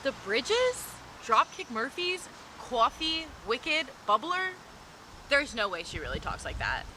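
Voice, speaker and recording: A woman's voice: on the low side, sing-song, quick pace, sharp tone. A woman playing a skeptical, easily exasperated young woman on a date in a comedy sketch. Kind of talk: acted comedy sketch dialogue. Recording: noisy outdoor location sound.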